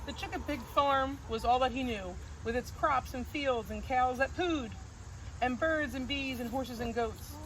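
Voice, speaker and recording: A woman reading a rhyming picture book aloud in a gentle sing-song cadence, recorded outdoors on a farm. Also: high voice, unhurried. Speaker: storybook reader. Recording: noisy outdoor recording with crickets.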